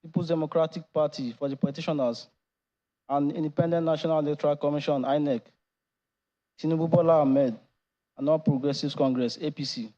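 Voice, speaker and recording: A man's voice: fairly high pitch, fairly even intonation, fairly quick, mellow. A man with a Nigerian accent formally reading out a case as a court registrar. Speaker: court registrar calling cases. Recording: dull, muffled courtroom audio.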